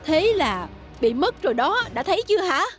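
An actress playing an impatient, nagging peasant wife in an animated folk tale, scolding and fretful. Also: female voice, high-pitched, moderate pace. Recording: music behind the voice.

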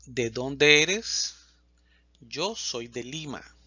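Slow, bright-toned man teaching Spanish. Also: medium pitch, lively intonation, breathy edge, no room sound, lo-fi sound. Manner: reads questions and answers slowly and distinctly, pausing between lines. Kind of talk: slow, clear language lesson reading example dialogues.

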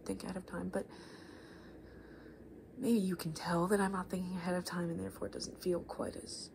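A woman in her twenties doing soft-spoken, rambling ASMR, unscripted and self-deprecating, sometimes slipping towards a whisper. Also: lowish voice, level tone, brisk, gravelly. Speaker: ASMR video creator. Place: small living room at home, indoors. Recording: faint room noise on home recording.

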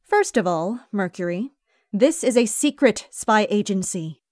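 A woman playing a stern, no-nonsense spy agency boss in an animated web series, firm and exasperated. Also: medium pitch, a little slow, no echo, clean studio sound, close to an everyday voice. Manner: firm, exasperated, clipped orders.

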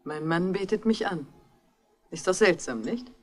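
A woman's voice: on the low side, expressive, measured pace. An actress playing a worldly, bitter married woman, confiding and dramatic, in a 1960s radio crime drama. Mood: brittle, bitter. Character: brittle, worldly married woman, bitter about her cold mother.

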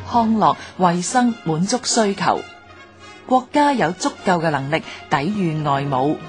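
A woman narrator with a fairly low voice and a Hong Kong Cantonese accent. She reads prepared documentary script formally and with measured diction, over music.

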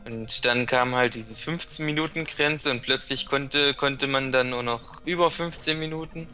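Man, bright forceful voice, guest commentator, explains tips, restarts sentences, faint game music. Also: medium pitch, moderate pace. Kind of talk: casual gaming chat.

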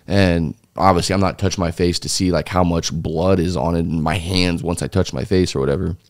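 Guest: deep-voiced man in his thirties, rambling casual storytelling, dry close studio mic. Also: steady tone, a little fast, dry recording. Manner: loose, rambling telling with many fillers and restarts. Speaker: interview guest, former foreign volunteer fighter. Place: small dark podcast studio. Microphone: studio mic on boom arm.

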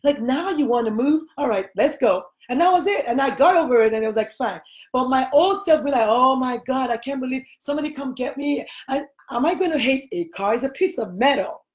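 A woman's voice: high-pitched, expressive, fairly quick, hardly any pauses. A middle-aged woman with a Nigerian accent, hosting a casual interview chat from a small room at home, talking emphatically into a laptop webcam. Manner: emphatic, gestures broadly while talking. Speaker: podcast host.

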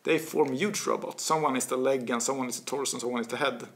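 Swedish man, 40s, interview guest; bright edge, some fry; stumbles and repeats words mid-thought. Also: medium pitch, quick pace. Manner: animated, gestures a lot, repeats words while searching. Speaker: guest, author. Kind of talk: casual interview talk. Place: small office studio with bookshelves, indoors. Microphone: desk studio mic.

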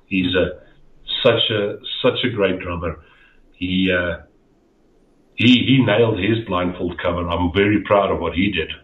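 Middle-aged Afrikaans-accented man, deep voice, phone-thin echoey call audio, rambling guest. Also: unhurried, phone-quality sound. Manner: rambling, repeats words, frequent "uh" fillers. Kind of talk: casual interview chat. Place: dim home room. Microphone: headset on a video call.

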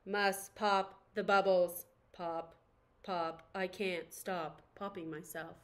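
A woman's voice: on the low side, fairly even intonation, slow pace, pitched below the performer's own voice. Woman as an obsessive bubble-wrap lady, voice dropped. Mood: compulsive.

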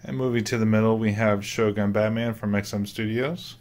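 A man's voice: fairly low pitch, steady tone, a little fast, a little mellow. A man giving a casual voice-over tour of his statue collection room, plain and matter-of-fact with frequent filler words.

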